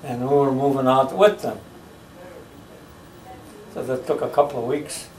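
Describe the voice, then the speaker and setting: An elderly man born in the United States, slowly recalling his childhood in a home interview, with halting pauses as he searches for details. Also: mid-range voice, fairly even intonation, a little mellow. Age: older. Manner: slow, halting storytelling with many pauses. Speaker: interviewee recounting his life. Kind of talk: family oral history interview. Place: living room, seated in a recliner. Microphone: camera mic from across the room. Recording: roomy home video from a distance.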